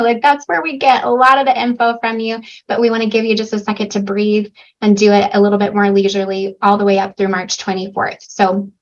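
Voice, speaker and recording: A woman's voice: medium pitch, dull audio. A woman on a housing staff, walking students through an application in a reassuring, conversational way. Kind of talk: explaining procedures.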